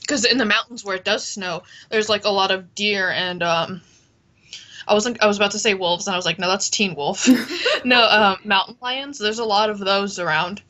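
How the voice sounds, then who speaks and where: A woman's voice: medium pitch, moderate pace, bright, forceful tone. A Californian woman with a Californian accent chatting casually, rambling playfully and saying like a lot. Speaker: host. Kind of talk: casual chat.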